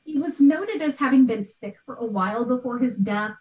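Female podcast guest, thirties, thoughtful and conversational, fairly high voice, roomy sound away from the mic. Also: moderate pace, a little mellow. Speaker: guest expert, art history podcaster. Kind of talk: conversational question-and-answer interview. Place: small bright room at home. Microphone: video call, computer microphone. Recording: echoey room, distant from mic.

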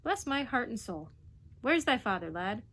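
Woman reader puts on a puffing old duke, loud and indignant, broad country speech. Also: on the high side, sing-song, normal speed, a little hiss or hum. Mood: blustering. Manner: puffing, sputtering, broad dialect. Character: gruff blustering old male duke, hard of hearing.

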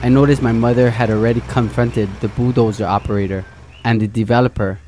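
A man's voice: medium pitch, fairly even intonation, fast talker, dark tone, a little hiss or hum. A man in his thirties from the island of Hawaii, narrating his documentary in an earnest way, his voice sometimes catching with emotion. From island of Hawaii, USA. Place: indoor studio set, outdoor forest for interview.

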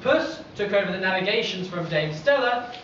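A pantomime narrator, played on an amateur stage, telling a tale with animated, deliberate delivery. The voice is distant in a very echoey hall. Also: medium pitch, muffled recording, slight background noise, close to an everyday voice. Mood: storytelling. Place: stage in a large theatre hall. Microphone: far camera.

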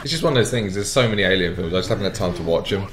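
A man's voice: sits low, expressive, rapid-fire, echoey room, noisy background. A young man co-hosting a game playthrough, dry and teasing, swearing as he plays. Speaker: let's play co-host. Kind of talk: casual gaming chat. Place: home room at a gaming desk.

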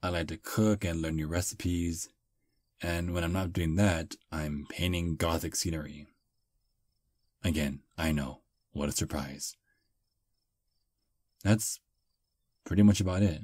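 A man playing a charming male vampire on a first date, soft, relaxed and self-deprecating, close to the mic in ASMR roleplay. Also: low-pitched, unhurried, pauses often, dry recording. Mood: calm.

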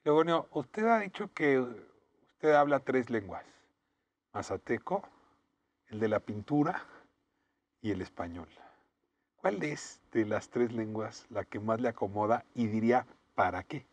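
A middle-aged man, hosting a studio interview with measured, reflective questions. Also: medium pitch, lively intonation, dry recording. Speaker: interview host. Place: indoor TV studio set. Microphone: lapel.

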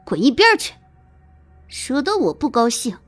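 A woman voicing a haughty, menacing young concubine in an audio drama, sneering and scolding with drawn-out threats. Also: sits high, big pitch swings, faint music behind. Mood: furious. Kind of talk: audio drama character acting.

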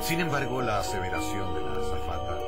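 A man's voice: sits low, big pitch swings, a little fast, over loud music. A man giving dramatic scripted narration for a radio drama.

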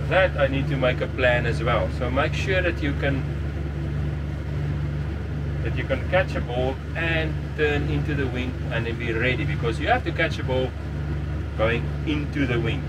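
Middle-aged guy talking sailing outdoors on a boat, loud wind and engine noise behind him. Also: medium pitch, unhurried, pauses often. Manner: plain explaining, restarts phrases, chuckles now and then. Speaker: sailing vlogger. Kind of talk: casual instructional talk. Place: outdoors on a catamaran deck by the sea. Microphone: headset mic at first, then a camera mic up close.

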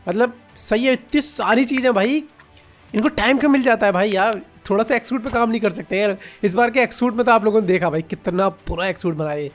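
A man's voice: sits high, a little fast, soft background music. A man with an Indian accent giving casual, informal reaction commentary as a gaming video host, chatting to viewers like friends.

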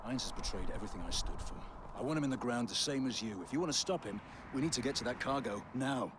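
An actor playing a tough, battle-hardened soldier in a military video game, terse and commanding. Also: male voice, medium pitch, lively intonation, fast talker, background noise, lightly acted. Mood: grim, resolute. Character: tough battle-hardened male soldier turned against his betrayer.

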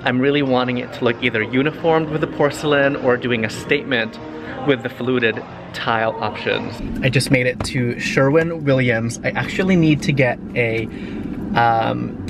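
A young man chatting casually to a handheld camera, gushing excitably over what he finds in a car and in stores. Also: mid-range voice, moderate pace, over music. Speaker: vlogger. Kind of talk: casual vlog chat to camera. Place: car, showroom and store, indoors. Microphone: handheld camera at arm's length.

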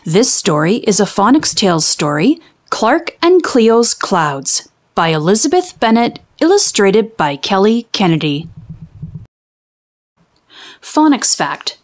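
A woman reading a children's storybook aloud, with expressive, deliberate enunciation for young children. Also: mid-range voice. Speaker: children's read-aloud host.

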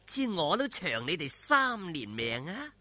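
A man voicing a defiant, mocking young swordswoman in a story, with a slow, deliberate Cantonese-accented delivery. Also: fairly high pitch, wildly swooping pitch, sharp tone, no echo, full character voice. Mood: cool mockery.

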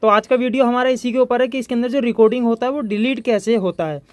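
A man's voice: very high-pitched, steady tone, quick pace, no room sound. A man from India, presenting an informal hands-on electronics tutorial as its host.

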